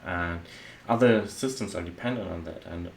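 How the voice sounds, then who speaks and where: German man, low voice, software architect talking casually through slides, slight background hiss. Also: normal speed, creaky. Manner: loose and conversational, many "well" and "uh" fillers. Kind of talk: explanatory slide talk.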